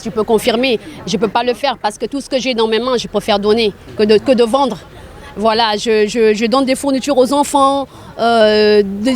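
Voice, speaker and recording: High-pitched woman in an interview. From grew up in Arabia. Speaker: charity volunteer being interviewed. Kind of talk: spontaneous interview talk.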